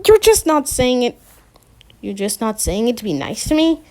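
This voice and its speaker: A woman reads as an awkward teenage boy, bouncing between a grand, hammy radio-host voice and a meek, unsure mumble. The voice sits high and lively, swooping as he tries to sound important. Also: moderate pace.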